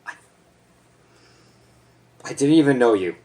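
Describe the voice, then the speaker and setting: A man's voice: medium pitch, expressive, takes it slowly, darkish tone, a little hiss or hum. A young man playing an awkward, sweet teenage boy in an amateur drama, hesitant and self-conscious. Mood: awkward, sad. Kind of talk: amateur stage drama monologue.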